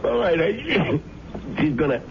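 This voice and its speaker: An actor playing a sick, winded hired thug in a radio drama, halting and falsely soothing as he threatens. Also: on the high side, very animated, creaky, crowd noise, heavily acted. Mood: breathless, reluctant. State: ill and winded. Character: sick, menacing younger male hired thug, wheedling and ruthless. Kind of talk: 1970s radio drama acting. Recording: noisy, dull old radio broadcast.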